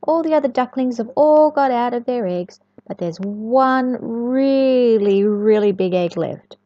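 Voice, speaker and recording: A woman reading a storybook to young children in an expressive, sing-song way, often chatting and asking the listener questions. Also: fairly high pitch, measured pace. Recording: echoey room, dull lo-fi sound.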